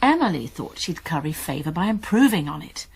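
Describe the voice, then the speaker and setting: An actress playing a shrewd, composed woman amateur sleuth in a radio drama, explaining things in a measured, quick-witted way. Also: deep voice, very animated, gravelly, breathy edge, full character voice.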